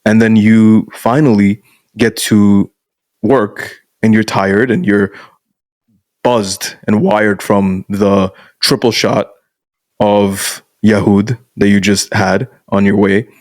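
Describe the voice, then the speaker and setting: A man's voice: on the low side, fairly even intonation, slow pace, a little mellow, no room sound. A young man who grew up in Canada, a guest coach talking frankly and casually in a podcast conversation and often calling the listener "bro.". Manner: frank, blunt, peppers speech with "bro".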